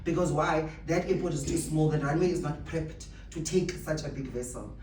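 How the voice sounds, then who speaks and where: Motivational speaker, mid pitch, roomy echo, mic a bit far off, repeats points for emphasis. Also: fairly even intonation, moderate pace, a little mellow. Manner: emphatic, rhetorical questions and repetition. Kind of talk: motivational speech to staff. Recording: echoey room, distant mic, faint noise.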